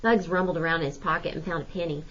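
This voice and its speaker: A woman's voice: sits low, fairly even intonation, fast, a bit bright. Woman reading a story aloud, slightly dull recording. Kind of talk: expressive storybook narration, first-person folksy text.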